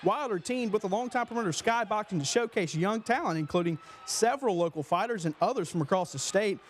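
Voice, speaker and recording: A man's voice: high-pitched, lively intonation, a little fast, a little hiss or hum. A young man reading a scripted sports newscast as a TV anchor. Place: small TV news studio. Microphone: lapel mic.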